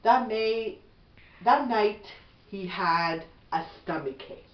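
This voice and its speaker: Middle aged mom reading a bedtime story real slow, bit far from the mic, home video. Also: female voice, mid-range voice. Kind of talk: slow storybook read-aloud. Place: small bedroom at home, indoors. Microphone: camera a few feet away. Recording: dull, echoey, distant camera mic.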